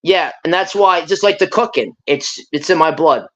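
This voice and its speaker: A man's voice: fairly high pitch, normal speed, fairly bright tone, highs cut off. A man from Brooklyn, a guest on a casual live stream, chatting and repeating himself as he drops Italian words. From Brooklyn, New York.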